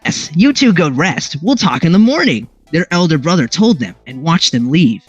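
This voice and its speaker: A man's voice: fairly high pitch, very animated, normal speed, slightly rough, no echo, lo-fi sound, faint music behind. A man reading a story aloud expressively, mixed with playful, sweary banter. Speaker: amateur fan fiction reader.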